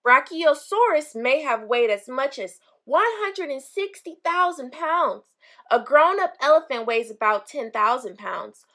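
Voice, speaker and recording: A woman reading a children's picture book aloud, pausing to explain things simply to young listeners. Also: high voice, expressive, sharp tone. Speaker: read-aloud storyteller for children.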